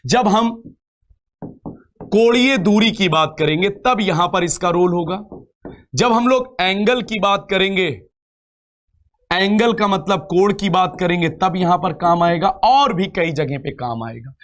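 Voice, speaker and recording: High-voiced man, coaching teacher, step-by-step lecture, repetitive phrasing, rhetorical questions. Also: slow, fairly bright tone. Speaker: reasoning teacher for exam coaching. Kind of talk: live online lecture, explaining step by step.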